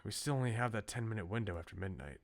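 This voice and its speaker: Man reading as an earnest, low-voiced bookish researcher. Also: animated. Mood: hushed, serious. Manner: measured, formal, serious. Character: earnest, bookish male researcher in a visual novel.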